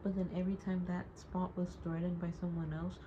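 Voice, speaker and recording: A woman's voice: fairly low pitch, level tone, fairly quick, mellow. Rambling young woman with lo-fi hiss. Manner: rambling, hesitant, heavy use of "like"; hurt and wry, holding back tears. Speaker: audio diarist. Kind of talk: personal audio diary monologue. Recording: dull lo-fi recording with clear background noise.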